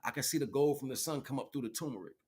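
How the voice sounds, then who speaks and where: A man's voice: medium pitch, very fast, darkish tone, breathy edge. A man in his forties hosting an informal livestream monologue, talking in a rambling, slangy way, repeating phrases and checking in with the listener. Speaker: livestream host.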